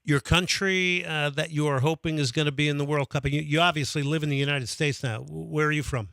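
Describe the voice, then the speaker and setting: A man's voice: on the high side, steady tone, a little fast, vocal fry, a bit bright, no room sound. A man hosting a radio sports call-in show, talking with enthusiasm and taking callers. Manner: enthusiastic, reads out phone number repeatedly.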